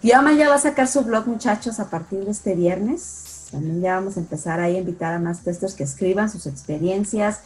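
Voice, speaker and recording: A woman's voice: medium pitch, a little fast, few pauses, darkish tone, rough recording. A woman co-hosting a casual group webinar, talking in a chatty, emphatic way. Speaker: webinar co-host, software tester.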